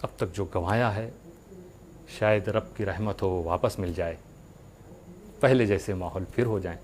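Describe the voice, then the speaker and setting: A man giving a religious sermon, speaking earnestly to his followers with rhetorical questions and deliberate pauses. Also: sits low, darkish tone, slight background noise.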